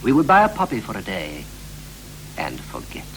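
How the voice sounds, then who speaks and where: An actor playing a wistful married man reflecting on his life in a poetic 1960s radio play, with a measured, lyrical delivery. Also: lowish voice, wildly swooping pitch, soft background music, rough recording. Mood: brooding. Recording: hissy, humming 1960s radio recording.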